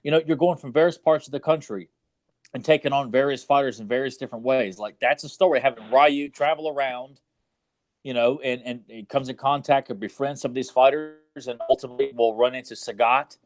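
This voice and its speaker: A man's voice: medium pitch, brisk, no echo. A man co-hosting a podcast, chatting casually in a blunt, opinionated and rambling way with some mild swearing. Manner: blunt, opinionated, mildly profane. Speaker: podcast co-host. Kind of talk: casual chat about video game movies.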